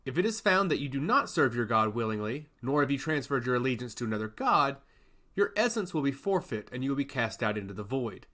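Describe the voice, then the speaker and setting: A stern god of intellect, as a male narrator plays him, lays down the law with sweeping, animated pitch. His delivery is solemn and emphatic, with a sly edge, in the manner of a fantasy audiobook. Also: a little fast. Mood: stern, lecturing. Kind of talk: fantasy audiobook character acting.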